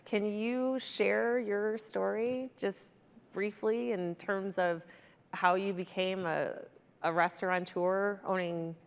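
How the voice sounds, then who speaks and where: A woman's voice: medium pitch, fairly even intonation, slow pace, creaky, clean tone. A middle-aged American woman interviewing conversationally as a panel moderator, wearing a mic on a large conference stage. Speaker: panel moderator, TV news anchor. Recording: echoey auditorium stage, long reverb.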